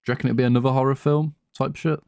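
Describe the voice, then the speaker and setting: A man's voice: medium pitch, steady tone, very fast, creaky, a little mellow, clear, resonant tone, dry recording. A man co-hosting a podcast in casual, slangy, sweary conversation about films. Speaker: podcast co-host. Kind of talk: casual chat, film discussion.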